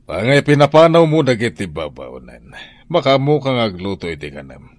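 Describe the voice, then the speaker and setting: A man's voice: medium pitch, very animated, moderate pace, no room sound, studio quality, close to an everyday voice. A man playing an exasperated, blaming husband of the house with an Ilocano accent in a radio drama.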